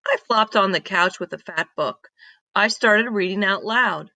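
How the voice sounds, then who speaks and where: A woman reading a children's story aloud in an expressive, deliberate manner. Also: medium pitch, no echo. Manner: deliberate, animated reading with emphatic exclamations.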